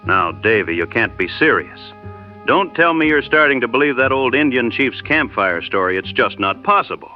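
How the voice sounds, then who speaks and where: A man's voice: mid-range voice, animated, normal speed, dull audio, close to an everyday voice. A man playing a skeptical, loyal frontiersman sidekick in an audio drama, speaking in a folksy way. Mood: incredulous. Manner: folksy, incredulous. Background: music.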